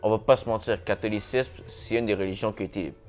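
A man's voice: on the low side, steady tone, fast talker, darkish tone, faint music behind. Laid-back young man hosting a podcast. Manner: laid-back, mixes in English slang. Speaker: podcast host. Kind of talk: casual podcast chat. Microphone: shared podcast mic.

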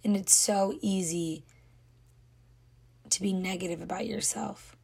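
Young woman podcaster just thinking out loud, kinda breathy and low, lots of "like" and "you know". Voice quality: breathy, slipping into whispers. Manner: candid, rambling, many "like" and "you know". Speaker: podcast host. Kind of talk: unscripted reflective monologue.

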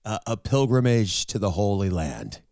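A man hosting an interview show, chatty and self-deprecating, his voice raspy from a cold. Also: on the low side, big pitch swings, moderate pace, darkish tone, dry recording, clean studio sound. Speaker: host. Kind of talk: casual interview and narration.

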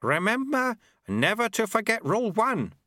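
A man's voice: high voice, very animated, normal speed, pushed and bright, no echo, studio quality, voice pushed up, exaggerated voice. A man doing a wily, teasing old martial arts master. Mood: sly teasing. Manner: sly, teasing, bossy.

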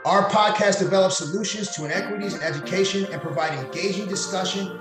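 A man reading the scripted intro of a podcast, slow and announcer-like. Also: sits high, voice pushed up, lightly acted. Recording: roomy echo over music.

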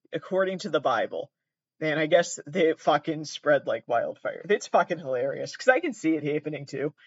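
A man's voice: fairly high pitch, no room sound, dull audio. A man hosting comedic commentary on pranks, rambling, swearing and joking at his own expense. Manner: rambling, swearing, self-deprecating.

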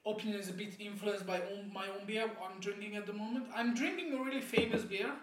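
A podcast co-host of unclear sex chatting casually over beer in a halting, repetitive, joking way. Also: medium pitch. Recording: echoey room, distant from microphone.

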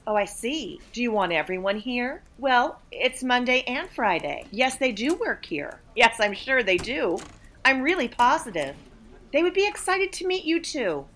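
Actress doing a blunt sardonic office lady in a comedy radio play, curt and dry, pitch swings around a lot. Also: very animated, moderate pace, a bit bright. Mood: brisk, polite. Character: aloof, blunt, sardonic female office saleswoman named Tuesday. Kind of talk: comedic audio play, rapid banter.

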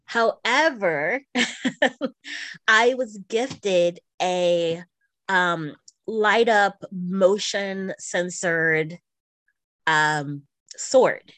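A woman's voice: medium pitch, drawn-out words, long pauses, a bit bright, dry recording. A middle-aged woman co-hosting a podcast, chatting casually about her hobbies in an informal way. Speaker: podcast co-host. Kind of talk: casual chat about video games.

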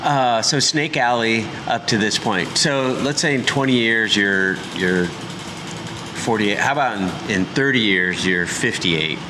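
A man's voice: lowish voice, a little slow. A man in his forties hosting a casual podcast interview in a bike shop, chatty and joking. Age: 40s. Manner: chatty, joking, asks loose questions. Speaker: podcast host. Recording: noisy shop background. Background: shop noise, people working on bikes.

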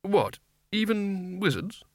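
A man's voice: sits high, very animated, slow, dry recording, clean studio sound, voice pushed up, exaggerated voice. A man voicing a brusque, impatient wizard Arch-Chancellor in a comic fantasy audiobook, snapping and stumbling over his words. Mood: affronted.

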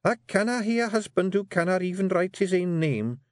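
Man voicing a female pixie chief, coaxing, sly, high lilting voice, dry close sound. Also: expressive, fairly quick, no room sound. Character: sharp clever female pixie clan matriarch. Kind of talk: audiobook character voice.

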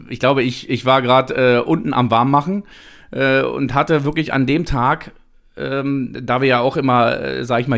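A man's voice: medium pitch, creaky. A man hosting a podcast, talking casually and at length with his guest, with many small hesitations. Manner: chatty, many fillers and restarts. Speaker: podcast host. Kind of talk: casual chat.

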